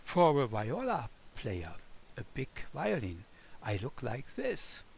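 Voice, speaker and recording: A man with a German accent teaches music to children at a slow, deliberate pace. His voice sits fairly high and swings widely in pitch. He speaks in simple, playful phrases straight to the listener. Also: vocal fry, husky. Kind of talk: scripted children's music lesson, speaking as a melody.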